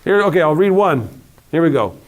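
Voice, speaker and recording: A man's voice: a little high, sing-song, a little fast. A man in his forties reading a storybook aloud with playful, theatrical phrasing and casual asides. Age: 40s.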